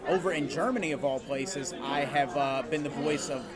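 A man's voice: a little high, normal speed. A man hosting casual on-location interviews at a convention. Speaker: podcast host interviewing guests, also a voice actor. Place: convention booth in a hotel media room. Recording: busy convention crowd around him.

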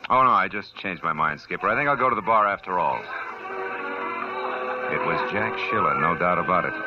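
An actor playing a wisecracking hard-boiled private detective who narrates a 1940s radio drama. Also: male voice, deep voice, natural voice. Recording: dull old radio recording with music.

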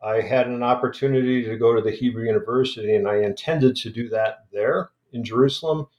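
A man's voice: mid-range voice, darkish tone, roomy sound, lo-fi sound. A man talking as a guest scholar in an interview, hesitant and full of restarts and fillers. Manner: halting, many restarts and fillers.

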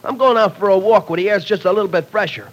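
A man's voice: high voice, quick pace. An actor playing a brash, streetwise young man who talks in slangy tough-guy wisecracks, in 1940s radio acting style. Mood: hurt.

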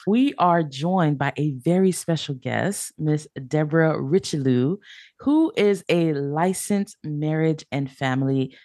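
A woman's voice: low-pitched, takes it slowly, darkish tone, no room sound, clean studio sound. A woman hosting a podcast about marriage, reading an intro and then interviewing a guest with enthusiasm. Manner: upbeat, enthusiastic. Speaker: podcast host.

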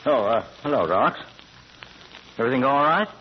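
An actor playing a genial, evasive, educated professor among crooks, courteous and precise, in old-time radio drama. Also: male voice, mid-range voice, lively intonation, moderate pace, lightly acted. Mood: amused, evasive. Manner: courteous, hesitant, chuckling, precise diction. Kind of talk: 1930s-40s radio drama acting. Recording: dull, lightly noisy old radio recording.

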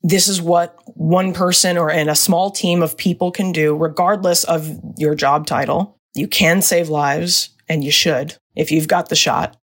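A woman with a deep voice, a former diplomat speaking candidly and reflectively as a podcast guest. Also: unhurried, few pauses. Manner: candid, reflective, animated storytelling. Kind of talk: podcast interview.

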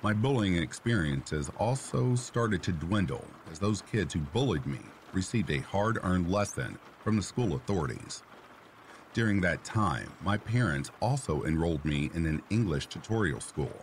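Deep-voiced man narrating a story. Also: animated, normal speed, slight background noise. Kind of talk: scripted narration of a reader-submitted story. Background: faint rain sounds.

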